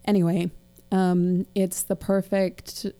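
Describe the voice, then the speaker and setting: A woman's voice: fairly low pitch, little pitch movement, slow, warm tone. A woman in her late twenties with a slightly crackly voice, co-hosting a casual, digressive book discussion with wry asides. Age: late 20s. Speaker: podcast co-host.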